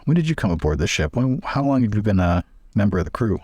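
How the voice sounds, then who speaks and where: A man plays an inquisitive scholar in a tabletop adventure. He speaks in a low, close and dry voice, casually feeling out answers with guarded curiosity. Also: big pitch swings, fast talker, a little mellow, breathy edge, no room sound. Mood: curious, probing.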